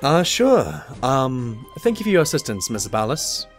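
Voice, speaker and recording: Man as an earnest, animated detective's sidekick. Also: mid-range voice, measured pace, over music. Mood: earnest, curious. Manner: expressive, stammering when embarrassed. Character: earnest, easily flustered male pony doctor sidekick. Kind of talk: audio drama acting.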